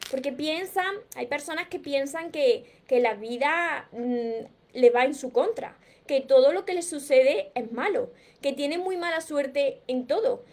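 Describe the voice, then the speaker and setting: A woman's voice: sits high, darkish tone. A middle-aged woman from Spain, speaking with a Spanish accent as a personal growth coach on a live stream, explaining earnestly and answering viewers' comments. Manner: earnest, teacherly, addresses viewers directly with repeated phrases.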